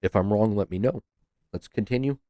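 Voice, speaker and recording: Man, deep voice, dry close sound, casual reaction chat, swears freely. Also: a little fast, warm tone, dry recording. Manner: laid-back, swears freely, laughs. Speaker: reaction video host.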